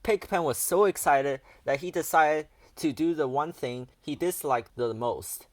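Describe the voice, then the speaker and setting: A man's voice: fairly high pitch, unhurried, no room sound. A man narrating a children's picture book aloud as a storyteller.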